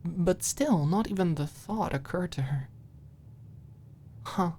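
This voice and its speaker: A woman narrating a story as a scripted audiobook, in a soft, expressive storytelling manner. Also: fairly low pitch, slow, a little hiss or hum.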